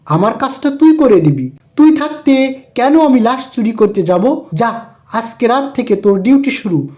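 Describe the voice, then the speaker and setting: Woman doing a bossy cartoon sorcerer, dark mellow tone, really swingy pitch, kinda high. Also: expressive, measured pace. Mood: commanding, smug. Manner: commanding. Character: scheming corpse-stealing sorcerer, bossy and cunning. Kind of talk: cartoon villain dialogue.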